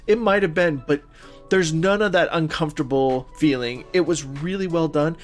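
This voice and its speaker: A man with a slightly high voice chats as a podcast co-host. His delivery is informal and halting, working through his thoughts as he goes. There is faint music in the background. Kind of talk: casual chat about movies and video games.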